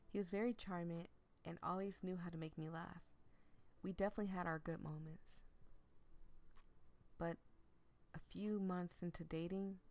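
A woman voicing a gentle, attentive young boyfriend in a visual novel, measured, sincere and open about feelings. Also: on the low side. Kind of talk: visual novel read aloud.

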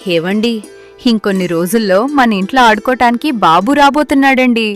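A woman playing a greedy, scheming young daughter-in-law who talks persuasively in an animated moral story. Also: on the high side, brisk, faint music behind, natural voice. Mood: happy, assured. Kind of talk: acted dialogue in a Telugu moral story.

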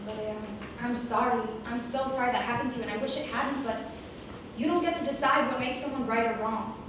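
A woman's voice: on the high side, fairly quick, lightly acted. A young woman on stage playing a spirited, sassy young Mexican American heroine in a comic musical, projecting emphatically. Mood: earnest, firm. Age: young adult. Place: small indoor theatre stage, far camera. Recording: echoey stage from far off, audience noise.

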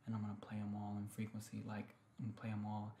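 A man speaks in a soft, very dark and mellow voice, often dropping to a whisper. He talks low and gently, as an intimate ASMR host, in a roomy indoor space. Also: completely flat delivery, fast. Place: small decorated room indoors. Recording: roomy echo, faint background noise. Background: ringing singing bowls.

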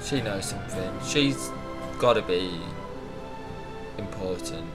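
A man's voice: deep voice, slow pace. A young man in a small bedroom, chatting casually and exclaiming as he reacts to a clip on his webcam. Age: young adult. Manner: chatty, exclaiming, talks over the clips. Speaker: reaction video host. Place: small bedroom with shelves of figures. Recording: loud clip music under the voice. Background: loud music and dialogue from the episode.

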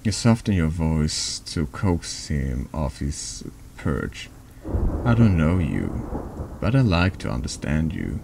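Very deep, gravelly man reading slowly. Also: very animated, darkish tone, a little hiss or hum. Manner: halting, stumbles over words. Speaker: streamer reading a text adventure game aloud. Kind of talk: slow ASMR horror story reading.

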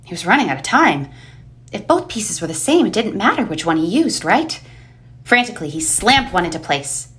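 A woman's voice: mid-range voice, big pitch swings, normal speed, fairly bright tone. A woman reading dramatic scripted narration for an eerie audio play. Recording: music under the voice.

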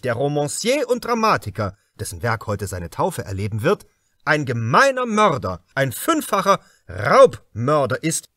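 A man's voice: a little high, fairly bright tone, dry recording, clean studio sound. A man voicing a severe state prosecutor in an audiobook, speaking formally and emphatically like a courtroom orator.

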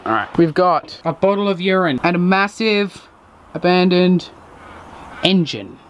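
A man's voice: sits high, lively intonation, measured pace, muffled recording. Chatty young man exploring outdoors. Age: young adult. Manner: chatty, jokey, running play-by-play. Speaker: urban explorer vlogger. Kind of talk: casual walkthrough commentary. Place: outdoors by trains and inside an old locomotive. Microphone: handheld action camera. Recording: noisy outdoor camera audio.

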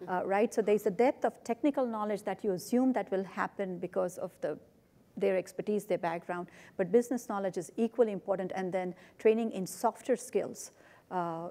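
A woman on a conference stage talks earnestly into a lapel mic. Her voice is mellow and sits at a middle pitch. She links her points with "means" and checks in with "right?". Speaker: panelist, corporate IT executive. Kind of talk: panel discussion.

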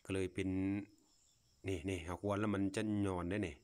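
A man's voice: low voice, level tone, normal speed, a little mellow. A man from Laos, talking casually and unscripted to viewers as he films outdoors on a phone, in a folksy, repetitive, marvelling way. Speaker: vlogger. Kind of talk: casual unscripted commentary to viewers while filming. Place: outdoors, at a grassy patch.

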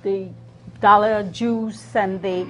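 A middle-aged woman casually demonstrating a recipe, explaining it in a hesitant, halting way. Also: medium pitch, steady tone, a little hiss or hum. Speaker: guest cook demonstrating a recipe. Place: TV kitchen studio set.

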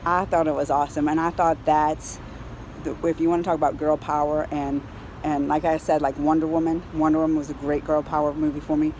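A middle-aged woman giving a casual, excited review to camera in an animated, colloquial way. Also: deep voice, brisk, low-quality recording. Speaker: vlogger reviewing a film. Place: outdoors on a high-rise terrace in a city. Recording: clear outdoor ambient city noise.

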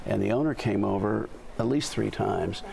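Older guy, really gravelly voice, kinda low, likes the food a lot. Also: normal speed, a little hiss or hum. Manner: warm, hesitant fillers, enthusiastic praise. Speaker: guest reviewer. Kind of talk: casual dinner-table chat. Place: studio dinner table.

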